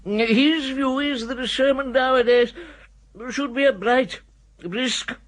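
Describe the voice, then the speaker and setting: A man's voice: very high voice, slow, fairly bright tone, full character voice. An actor playing a genial, pompous, long-winded elderly country clergyman with an upper-class English accent, hesitant and ornate, in a radio comedy. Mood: ponderous. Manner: ponderous, ornate, hesitant with ums. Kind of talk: radio comedy drama acting.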